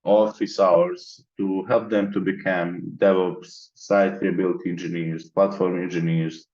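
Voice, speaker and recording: A man's voice: sits low, flat delivery, a little slow, a little mellow, highs cut off. A man from the Balkans, a guest in an interview, explaining his plans methodically in long lists. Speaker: interview guest, mentorship organizer. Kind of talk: conversational interview.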